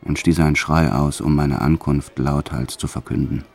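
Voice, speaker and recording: An actor playing a notorious male French criminal who recounts his wartime childhood in a brooding, deliberate way, as in an audio drama. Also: rumbling low, fairly even intonation, gravelly, a little mellow, heavily acted. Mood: brooding reminiscence. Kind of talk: dramatized first-person audio drama narration.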